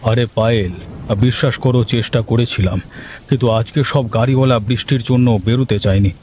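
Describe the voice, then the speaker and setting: A man voicing an excitable, easily frightened village husband in a cartoon, fussy and hurried. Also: medium pitch, slight background noise, lightly acted. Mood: defensive. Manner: fussy, hurried, stammers when scared. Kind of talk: cartoon voice acting.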